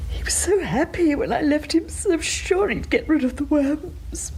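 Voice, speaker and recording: High-voiced grieving widow. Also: female voice, lively intonation, a little mellow, a little hiss or hum, rough recording. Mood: stunned grief. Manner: halting, disbelieving. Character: stunned, grieving English widow. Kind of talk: radio drama acting.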